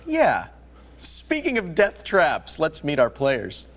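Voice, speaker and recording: A man's voice: a little high, wildly swooping pitch, slow, heavy background noise. A man hosting a televised gaming tournament, trading playful, quipping banter in a scripted style. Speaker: host.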